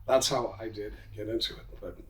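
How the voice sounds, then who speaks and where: A man's voice: fairly low pitch, steady tone, a little fast, low-quality recording. A middle-aged man giving casual, self-assured, informal answers as a podcast guest, with some room noise behind him. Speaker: podcast guest, commercial real estate entrepreneur. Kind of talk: casual interview talk.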